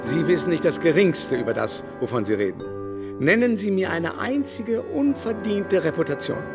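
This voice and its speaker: An aristocratic know-it-all baron in a literary radio play. His voice sits on the high side and he holds forth with scornful emphasis and impatience, music playing behind him. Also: lively intonation, normal speed. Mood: scornful, impatient. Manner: emphatic, scornful, long-winded lecturing. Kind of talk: German literary radio drama acting.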